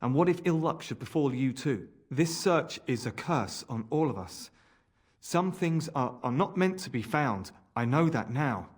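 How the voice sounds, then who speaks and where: A nervous, evasive male assistant to a scholar. His voice sits at a middle pitch, with a solemn, hesitant delivery. He sounds like a gloomy zealot from a fantasy adventure game. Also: lively intonation, measured pace, slightly rough. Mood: reluctant, fearful. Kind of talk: fantasy video game voice acting.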